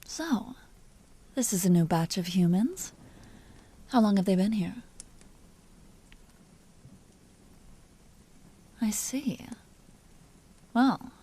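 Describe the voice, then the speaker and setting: Lady voicing a vampire duchess for roleplay audio, dark mellow breathy voice, posh wording, amused and predatory, takes her time teasing. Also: fairly low pitch. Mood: appraising, intrigued. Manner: aristocratic, formal, languid, teasing. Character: elegant vampire duchess, haughty, amused, predatory yet courteous. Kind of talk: dramatic roleplay audio performance.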